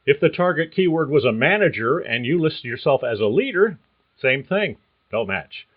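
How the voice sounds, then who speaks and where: An older man hosting a career-coaching podcast, reading a prepared monologue in a measured, earnest way. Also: a little high, big pitch swings. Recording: thin phone-like sound.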